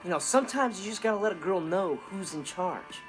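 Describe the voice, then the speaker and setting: A man's voice: high voice, animated, a little fast, soft background music, low-quality recording. A young American man playing a cocky, mischievous, laid-back teenage boy in a sitcom, talking to the audience in a casual, wisecracking way. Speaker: sitcom actor. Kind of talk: comedic monologue to the audience.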